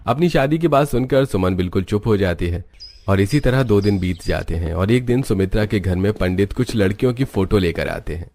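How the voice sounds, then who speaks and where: Male narrator, low register, scripted moral-tale storytelling. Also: animated, fairly quick, darkish tone.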